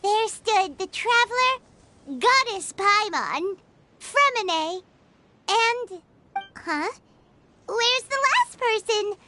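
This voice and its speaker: A woman voicing a tiny, excitable fairy girl in a video game, speaking with earnest, theatrical flair. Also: very high-pitched, very slow, long pauses, no echo, full character voice. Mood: storytelling. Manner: earnest, theatrical storytelling, exclamatory.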